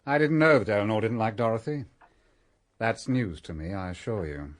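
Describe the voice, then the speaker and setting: A man's voice: lowish voice, animated, moderate pace, lightly acted. A man playing an offhand, polite young upper-class man in a radio mystery. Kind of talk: 1920s country-house radio mystery acting.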